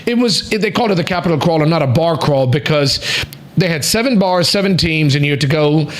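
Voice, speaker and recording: A man's voice: fairly high pitch, animated, brisk. A middle-aged man hosting a podcast, chatting enthusiastically and often repeating words as he restarts. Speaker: podcast host. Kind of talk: casual chat.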